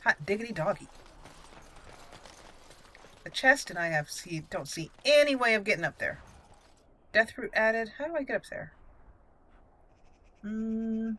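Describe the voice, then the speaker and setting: A woman's voice: a little high, lively intonation, measured pace, long pauses, sharp tone, poor audio. A woman hosting a roleplay let's play, chatty and playful, thinking aloud as she goes. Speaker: gaming host.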